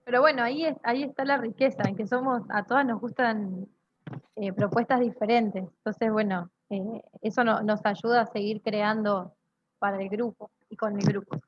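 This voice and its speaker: A young woman explaining her theatre group's work in a halting, conversational panel style. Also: medium pitch, fairly even intonation, dull audio, slight background noise. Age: young adult.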